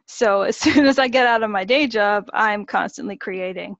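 Measured woman singer-songwriter in her thirties. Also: mid-range voice, a bit bright, no room sound, dull audio. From Massachusetts, USA. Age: 30s. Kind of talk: interview answers.

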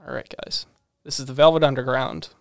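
A man's voice: mid-range voice, fairly quick, gravelly, dry recording. A man co-hosting a radio show, picking songs and chatting with laid-back dry humor. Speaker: radio co-host and song picker. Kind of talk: casual radio chat.

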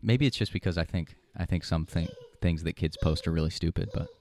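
A young man with an American accent, co-hosting a talk show with informal, opinionated, halting chat. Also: sits low, darkish tone.

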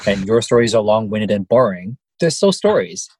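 Sassy, husky-voiced young man. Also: fairly low pitch, moderate pace, no room sound. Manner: sassy, teasing, animated. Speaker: podcast co-host. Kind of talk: casual chat.

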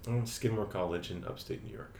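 A young man from France, a teacher, answering interview questions in a relaxed way with frequent ums and uhs. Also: sits low, fairly quick, vocal fry. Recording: echoey room with faint ambient noise.

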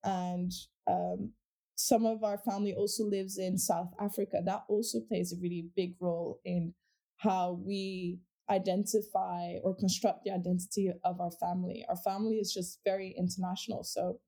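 A woman's voice: medium pitch, flat delivery, normal speed, creaky, warm tone. A woman from Portugal, a native Portuguese speaker, talking about her background in a reflective casual conversation with many restarts. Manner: reflective, with frequent restarts and fillers.

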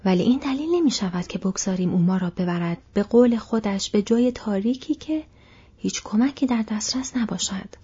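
A woman's voice: mid-range voice, dark tone, slightly rough. A woman voicing a blunt, loyal young male hobbit servant in a whispery, protective, suspicious way. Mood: protesting. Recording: dull lo-fi recording.

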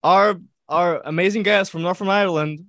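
A man's voice: high-pitched, fairly even intonation, normal speed, fairly bright tone, no room sound, studio quality. A man chatting casually and informally as a podcast co-host, often repeating words as he goes. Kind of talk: casual chat.

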